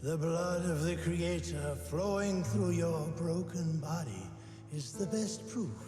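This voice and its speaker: An actor playing a serene, devout cult overseer, solemn and priestly, in a theatrical game style. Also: male voice, a little high, slow. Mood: reverent. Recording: echoey hall with music underneath.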